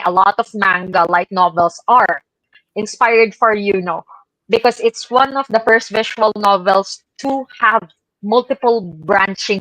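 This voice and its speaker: A woman's voice: mid-range voice, fairly bright tone, no room sound, rough recording. A woman presenting informally to co-hosts on an online show, in a hesitant, conversational way. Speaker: podcast presenter. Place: online live stream call.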